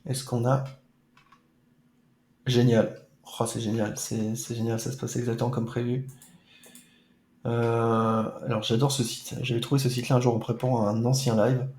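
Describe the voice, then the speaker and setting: A man chatting casually over a retro football game stream, full of playful, mock-dramatic asides. His voice is mellow and soft-edged. The room around him rings with echo. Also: mid-range voice, flat delivery, moderate pace, pauses often, slight background noise. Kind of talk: casual let's-play chat.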